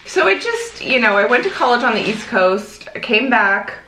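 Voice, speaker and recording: Woman, late 30s, chatty guest, slightly high and very lively voice, echoey room with faint noise. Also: normal speed. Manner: chatty, storytelling, animated. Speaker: guest, fashion YouTuber. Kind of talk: casual chat over a meal.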